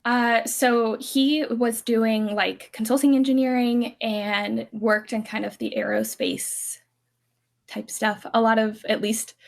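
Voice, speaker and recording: A woman's voice: fairly high pitch, steady tone, slow, creaky. A woman in her thirties from California, a podcast guest telling family stories in a chatty, rambling way. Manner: chatty, many restarts and fillers, laughs easily. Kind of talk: casual conversational storytelling.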